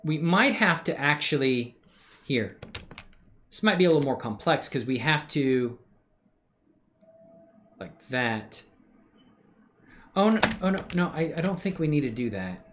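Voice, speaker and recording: A woman's voice: sits low, sing-song, measured pace, long pauses, a little hiss or hum. A woman hosting a casual live animation tutorial, thinking aloud and chatting in an upbeat way with viewers she calls man and bro.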